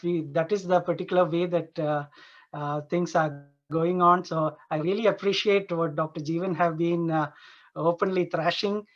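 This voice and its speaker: A man from Dubai giving an informal conference presentation as an Ayurveda doctor, earnest and halting, often repeating and rephrasing his phrases. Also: fairly high pitch. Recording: dull, lo-fi online conference audio.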